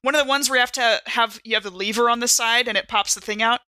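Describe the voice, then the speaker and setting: A woman plays along in a tabletop role-playing podcast. Her voice is bright and medium-high for everyday talk, recorded close and dry. She improvises in a casual, conversational way. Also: fast, dry recording. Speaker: player in an actual-play podcast.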